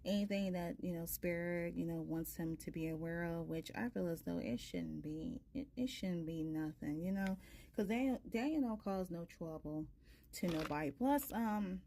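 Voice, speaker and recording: A woman in her thirties with a soft voice, a tarot reader chatting casually and ramblingly with her viewers. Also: lowish voice, moderate pace. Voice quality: soft-spoken. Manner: rambling, many fillers. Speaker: tarot reader, channel host.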